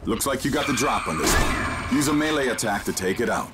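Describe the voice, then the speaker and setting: An actor playing a calm male mission handler, giving steady instructions in a video game. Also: mid-range voice, fairly quick, natural voice. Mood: guiding. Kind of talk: video game cutscene voice acting. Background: game score music.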